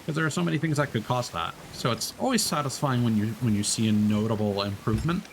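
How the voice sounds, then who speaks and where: A man's voice: medium pitch, quick pace, vocal fry, a little hiss or hum, rough recording. A man streaming a game, talking casually with lots of fillers and restarts. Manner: rambling, many fillers and restarts. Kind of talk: casual gameplay commentary.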